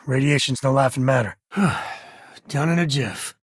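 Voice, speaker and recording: An actor playing a wry, plain-spoken frontier space ranger in a video game, with a husky, raspy voice and folksy, sardonic phrasing. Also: male voice, medium pitch, unhurried, no room sound, studio quality. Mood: wry, easygoing. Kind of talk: video game character dialogue.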